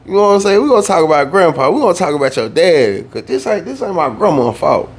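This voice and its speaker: Laid-back gravelly young Southern man. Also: on the high side, fast. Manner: laid-back slangy storytelling, animated when worked up. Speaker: vlogger telling a story. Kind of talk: casual storytime.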